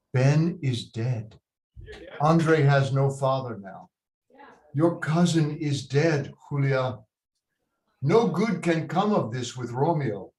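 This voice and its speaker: A man playing a stern, slow-spoken loving father. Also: a little high, a little mellow. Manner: deliberate, paternal, slips Spanish words into English. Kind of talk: dramatic table read. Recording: roomy echoing video call.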